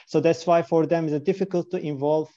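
Turkish-accented man, embryologist, earnest, hunts for words, mellow voice, muffled remote line. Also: on the high side, fairly even intonation, brisk. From Turkey. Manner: earnest, searching for words in non-native English. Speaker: embryologist and clinic director. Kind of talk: remote interview. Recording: dull lo-fi call audio.

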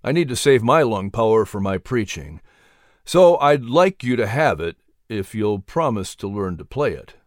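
A narrator voicing a kindly, calm male preacher who mentors gently in a measured, encouraging way. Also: mid-range voice, dry recording, studio quality, lightly acted. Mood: kindly, reassuring.

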